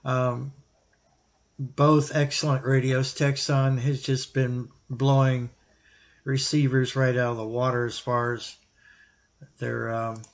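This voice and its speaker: A man giving an informal, explanatory product recommendation as a hobbyist reviewer, thinking aloud in halting, broken phrases. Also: mid-range voice, little pitch movement. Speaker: YouTube radio hobbyist and reviewer.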